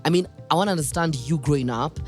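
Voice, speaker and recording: A man's voice: fairly high pitch, soft background music. A man hosting a podcast interview, asking long, thoughtful questions and backing the guest with frequent yeahs. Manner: thoughtful, drawn-out questions with frequent affirming "yeah". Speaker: podcast host. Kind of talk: casual interview chat.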